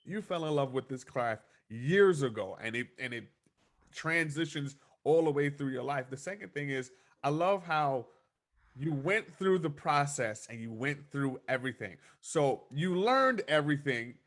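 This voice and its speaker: A man's voice: fairly high pitch, lively intonation, measured pace, fairly bright tone. A man hosting a podcast interview, effusive and praising, often restarting his sentences. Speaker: podcast host. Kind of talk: conversational interview.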